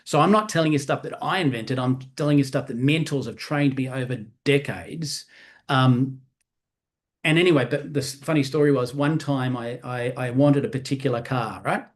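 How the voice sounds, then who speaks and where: Australian male trainer, 50s, upbeat motivational delivery, mid-pitched voice. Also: moderate pace. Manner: animated, peppers talk with "right?". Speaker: sales trainer.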